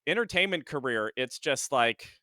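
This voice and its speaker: A male podcast host who explains in long lists and talks quickly, in casual chat. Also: medium pitch, expressive, sharp tone, no room sound, studio quality.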